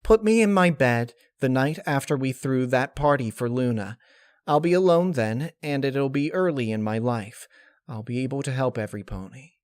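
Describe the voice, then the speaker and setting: A man's voice: medium pitch, expressive, normal speed, smooth, no echo, clean studio sound, lightly acted. A man voicing a troubled but determined motherly female pony in a story reading, earnest and pleading. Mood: earnest, upset.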